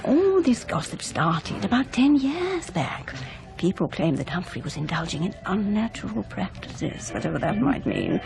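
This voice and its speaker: Motherly, chatty society matron, radio drama. Genteel, confiding gossip, animated intonation over music. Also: medium pitch, vocal fry, low-quality recording. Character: gossipy, motherly, well-meaning older society wife. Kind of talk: 1990s British radio drama acting.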